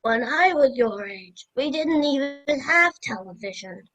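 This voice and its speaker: A young woman in an amateur radio play, playing a gruff but playful old grandfather. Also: high-pitched, animated, unhurried, a bit bright, exaggerated voice, poor audio. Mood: grumpy. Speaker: student radio play performer.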